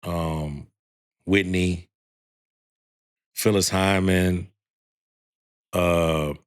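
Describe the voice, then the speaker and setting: A man in his thirties with a deep, heavy baritone. His voice sits very low, and he talks in a relaxed, rambling way, full of fillers. This is a casual podcast chat. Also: very slow, clear, resonant tone. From Houston, Texas. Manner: laid-back, rambling with many "like" fillers. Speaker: guest singer.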